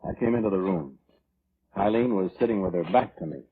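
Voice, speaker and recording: A man's voice: low-pitched, lively intonation, a little fast, slight background noise. A man acting in a 1940s radio drama, playing a violent, self-justifying man who defensively recounts his grudge. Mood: defensive recounting. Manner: defensive, blustering. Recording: muffled old radio broadcast, music underneath.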